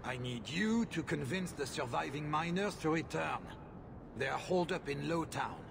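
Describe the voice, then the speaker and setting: A man voicing a shrewd, money-minded mine owner with a brisk, dealmaking manner in a video game. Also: a little high, expressive, gravelly, background noise. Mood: businesslike.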